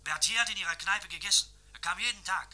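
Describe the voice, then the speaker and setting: An actor playing an eager, dutiful police assistant who reports briskly to his boss in a radio crime drama. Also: high-pitched, pushed and bright.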